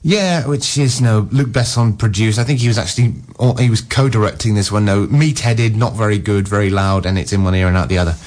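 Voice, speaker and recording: A groggy man reviewing films on a local radio show, with wry, opinionated takes. He hedges with fillers and trails off now and then. His voice sits on the lower side. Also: fairly quick, hardly any pauses, darkish tone. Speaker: film critic co-host. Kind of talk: casual radio film reviews.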